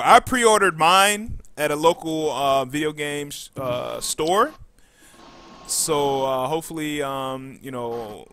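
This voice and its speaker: A man hosting a podcast casually, reading out announcements and often pausing mid-sentence. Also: medium pitch, fairly bright tone, a little hiss or hum. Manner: halting, frequent pauses mid-sentence. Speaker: podcast host.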